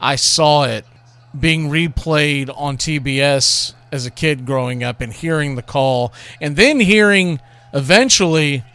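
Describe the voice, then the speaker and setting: A man's voice: fairly high pitch, unhurried, sharp tone, clear, resonant tone, no room sound. A man hosting a baseball show, reminiscing and commenting in a rambling, conversational way about classic calls. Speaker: baseball radio show host.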